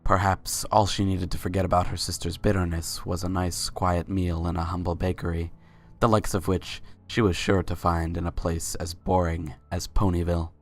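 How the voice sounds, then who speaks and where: A woman's voice: very deep voice, flat delivery, moderate pace, few pauses, faint music behind. A woman reading scripted story narration as the narrator of a dramatic reading.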